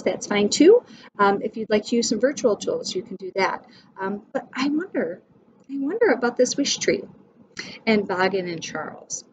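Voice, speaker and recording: Lady teacher reading a kids book, highish lively voice, bit of background noise. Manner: expressive, addressing children directly. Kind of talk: storybook read-aloud for young children. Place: small indoor room with whiteboard. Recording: dull sound, faint background noise.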